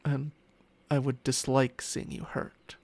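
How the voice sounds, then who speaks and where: A man's voice: mid-range voice, flat delivery, slow, vocal fry, darkish tone. A man playing a smug, possessive ancient dragon in human form, teasing and condescending in an intimate ASMR roleplay with formal, archaic phrasing. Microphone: close microphone, spatial ASMR recording.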